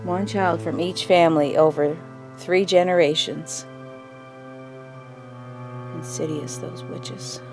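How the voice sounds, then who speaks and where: A woman playing a grave mother who tells an old family story in a measured, wistful way in an audio drama. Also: fairly low pitch, fairly even intonation, darkish tone, faint music behind. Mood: grave, uneasy.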